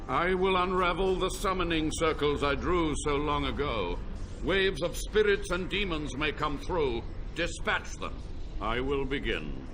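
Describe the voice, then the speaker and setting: Casting: an ancient, unrepentant mage. Slow, weighty, with a very lively pitch and a clear bed of ambient noise under the game audio. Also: male voice. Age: older. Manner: slow, grave, measured delivery. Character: ancient male mage, proud, unrepentant scholar. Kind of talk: video game cutscene dialogue.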